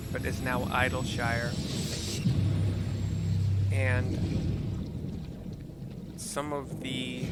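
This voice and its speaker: A man's voice: mid-range voice, very noisy. A man giving casual gameplay commentary, describing what is on screen in hesitant, piecemeal phrases as he searches for words. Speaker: video game streamer narrating for viewers. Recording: game music under the commentary. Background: clear game music.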